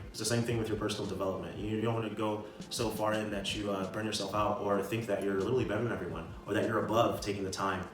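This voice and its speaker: A man giving casual motivational advice as a video creator, with an emphatic, repetitive, slangy way of talking. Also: fairly low pitch. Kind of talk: casual motivational talk to camera. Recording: roomy echo, distant mic, music behind. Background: music.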